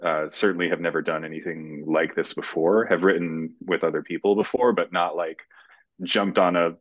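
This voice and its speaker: A man answering interview questions conversationally as a screenwriter, in a halting way with repeated words, recorded over a video call. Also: sits low, fairly even intonation, no echo. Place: indoor room, video call. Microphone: video call microphone. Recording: slightly dull video-call audio.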